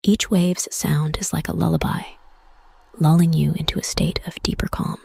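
A woman leads a guided meditation in a very breathy, partly whispered voice. It sits low, with a gravelly fry at the edges. She speaks in soothing, measured phrases with room between them. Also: fairly even intonation, darkish tone, dry recording. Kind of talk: guided meditation, soft scripted narration.

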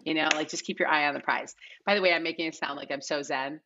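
A woman's voice: low-pitched, fairly even intonation, fast, noisy background. A middle-aged American woman chatting casually and ramblingly as a guest in an interview over a video call. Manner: rambling, peppered with "like" and "you know". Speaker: casting director, podcast guest. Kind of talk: casual, unscripted interview chat. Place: bright living room at home. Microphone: video call, wearing headphones. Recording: slightly dull, lo-fi video-call audio.

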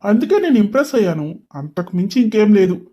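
A man's voice: high voice, big pitch swings, brisk, mellow. An arrogant young male boss as a man acts him out in a told story. He is short-tempered and mocking, bickers curtly, and slips English phrases into his speech. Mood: dismissive. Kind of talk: dramatized story dialogue.

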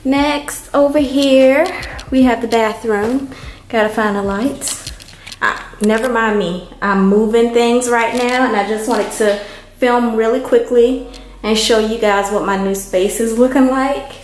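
A woman vlogger giving a casual, unhurried walkthrough of an empty apartment, filmed on a handheld camera. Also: a little high, steady tone, slight background noise. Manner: unhurried, chatty, many ums. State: hot and worn out from moving.

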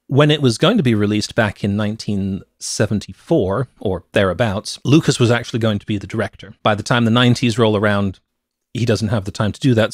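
A man's voice: on the low side, darkish tone, no room sound, studio quality. A middle-aged man hosting a movie podcast, telling film history in a conversational, explanatory way. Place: small cluttered home office, indoors. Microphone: close podcast microphone with headphones.

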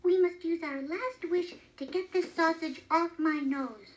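A woman's voice: very high-pitched, unhurried. A woman playing a plain-spoken, grumbling woodcutter husband with an emphatic manner, in a children's story. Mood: angry. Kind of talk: children's storybook character reading.

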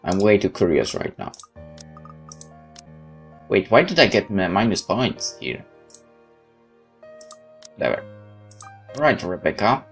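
Male let's-player, somewhat deep, reads story text aloud and comments over game music. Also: sing-song, takes it slowly, vocal fry, pauses often. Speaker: gaming video host. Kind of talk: video game let's play, reading text aloud with asides.

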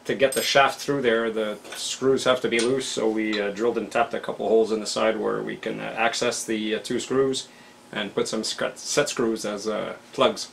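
Creaky-voiced middle-aged man in a workshop. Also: lowish voice, little pitch movement, normal speed, fairly bright tone. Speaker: machinist friend helping. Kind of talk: casual workshop chat. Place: cluttered garage machine shop. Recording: noisy workshop ambience.

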